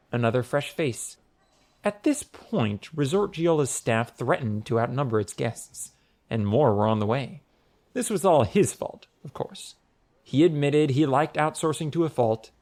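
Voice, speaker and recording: A man narrating a scripted story audiobook in a wry, expressive storytelling manner. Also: mid-range voice.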